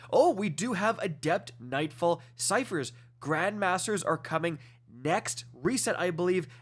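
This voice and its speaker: A man's voice: fairly high pitch, fairly bright tone. A man with a congested nose giving a casual, enthusiastic review of items in a video game, often calling out to viewers as guys. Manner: quick, enthusiastic, fillers like guys and uh. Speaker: gaming video host. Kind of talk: casual gameplay review commentary.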